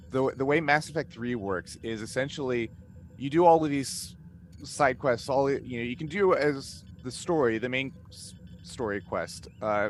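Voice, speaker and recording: A man chatting casually on a panel video call, explaining things in a halting, discursive way. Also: medium pitch. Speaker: cast member and game master. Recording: noisy video call audio.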